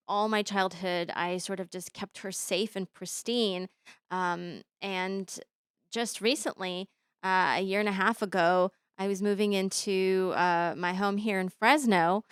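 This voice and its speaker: A woman's voice: mid-range voice, fairly bright tone, clean tone, no room sound, clean studio sound. A woman in her thirties, born in Bosnia with Bosnian as her first language, telling personal stories in a thoughtful interview, with many ums and restarts. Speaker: interview guest, professor. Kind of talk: conversational interview storytelling.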